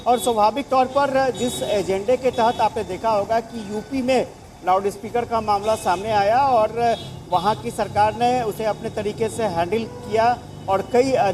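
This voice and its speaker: A male correspondent with a high voice delivers a live field report. He is outdoors in a city, with clear traffic noise around him. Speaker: news correspondent.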